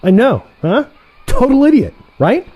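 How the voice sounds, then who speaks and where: A cocky, ruthless assassin in a comic audio play, acted by a man, full of petty irritation. The voice sits high for a man and jumps around animatedly as he complains. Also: wildly swooping pitch, measured pace, breathy edge, highs cut off, exaggerated voice. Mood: exasperated.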